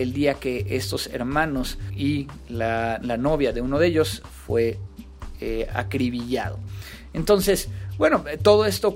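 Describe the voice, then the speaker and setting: A man, hosting a podcast and talking casually, with frequent fillers and restarts. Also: mid-range voice. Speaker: podcast host.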